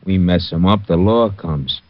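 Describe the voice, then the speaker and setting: A man's voice: sits low, unhurried, dry recording. An actor playing a cold, threatening gangster who talks in tough-guy slang. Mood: menacing. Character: menacing male gangster with a gun. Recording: dull old radio recording.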